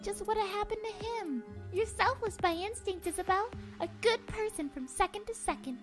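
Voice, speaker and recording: A woman's voice: very high voice, normal speed, music in the background. A woman voicing a childlike, affectionate and wistful book spirit in a comic dub, chatting in a tender, cutesy and playful way.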